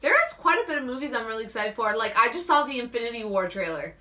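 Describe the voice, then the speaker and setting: A woman's voice: on the high side, expressive, fast, crowd noise. A woman co-hosting a talk show, chatting casually with emphatic enthusiasm. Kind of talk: casual chat. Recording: distant mic.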